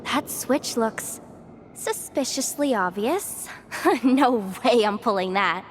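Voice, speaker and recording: High-pitched, animated young female treasure guide. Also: slow, a little hiss or hum. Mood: wary, sardonic. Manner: flustered, hesitant, defensive bluster. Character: young female treasure-hunting guide, cocky but jittery, blustering. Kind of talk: English video game voice acting.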